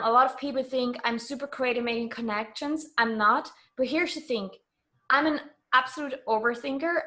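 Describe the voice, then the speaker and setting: Higher-voiced woman from Germany, chatting candidly. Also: bright, forceful tone. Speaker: interview guest. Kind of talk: casual interview chat.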